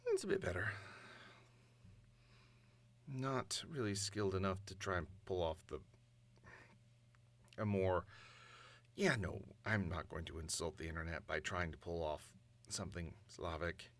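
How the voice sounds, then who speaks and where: A man's voice: deep voice, measured pace, pauses often, a bit bright. A man talking casually to himself while practicing, thinking aloud in a self-deprecating mutter. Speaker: amateur voice actor.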